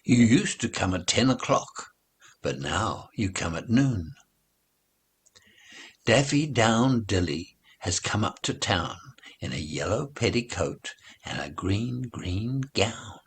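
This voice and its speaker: A husky male reader recites nursery rhymes very slowly. His voice sits fairly low, and he keeps a measured storybook rhythm with clear rises and falls. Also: expressive.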